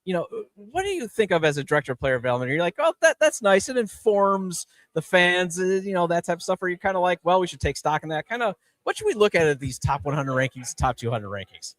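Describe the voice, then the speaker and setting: A man's voice: sits high, very animated, fast talker, few pauses, fairly bright tone, dry recording. A man hosting a live sports interview, putting questions to a guest as a podcast host. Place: live at a baseball fan convention.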